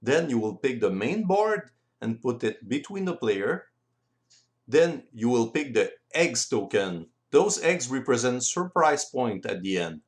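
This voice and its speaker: Male, French accent, French native speaker, very varied intonation, careful deliberate delivery, tutorial narration. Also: mid-range voice. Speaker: board game rules presenter. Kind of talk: instructional voice-over narration.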